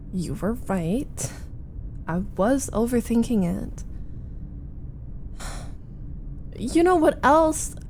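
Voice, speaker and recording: A woman voicing a flustered, needy young roommate in a chatty, halting, defensive roleplay audio, confiding as she vents. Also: mid-range voice, mellow, slight background noise. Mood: mock indignant. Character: flustered, needy young female roommate venting about a date. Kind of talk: roleplay ASMR audio acting.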